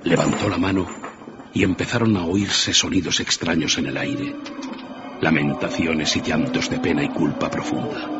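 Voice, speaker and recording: A man's voice: deep voice, fairly even intonation, moderate pace, music in the background. A man narrating a scripted dramatic story as the narrator of a radio drama. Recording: muffled, loud background ambience and noise.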